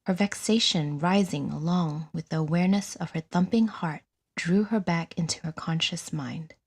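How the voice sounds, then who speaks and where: Breathy, low-pitched woman narrating fiction. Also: moderate pace, a little mellow. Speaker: audiodrama narrator. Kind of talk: scripted dramatic fiction narration.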